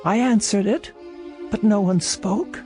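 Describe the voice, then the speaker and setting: A woman's voice: medium pitch, unhurried, mellow, lightly acted. A woman playing a frail, lonely, fretful elderly woman in a radio drama, speaking in a hesitant, proper way. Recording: radio play with music underneath.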